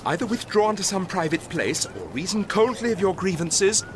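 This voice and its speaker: An actor playing a cautious, sensible young nobleman urging calm, with a British accent, in a Shakespearean radio drama. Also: high voice, normal speed, noisy background. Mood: urgent. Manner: reasoning, urging.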